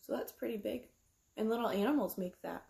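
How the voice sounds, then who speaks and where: A woman's voice: fairly high pitch, fairly quick, vocal fry, dark tone. A woman, reading a picture book aloud like a teacher, explaining simply to young children and asking them questions. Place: small room at home, indoors.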